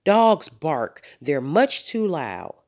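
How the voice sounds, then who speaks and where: A woman's voice: deep voice, big pitch swings, very slow, a little mellow, full character voice. A woman voicing a sleepy, befuddled father in a children's storybook, questioning and objecting. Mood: disapproving.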